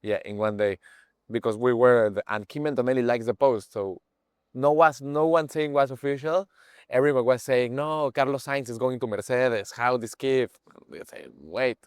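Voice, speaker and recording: A man in his twenties from Mexico with a Mexican Spanish accent, speaking English as a second language, halting and searching for words as an interview guest. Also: mid-range voice, fairly even intonation, clear, resonant tone, no room sound, studio quality. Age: 20s. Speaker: guest, page owner. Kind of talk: casual interview chat.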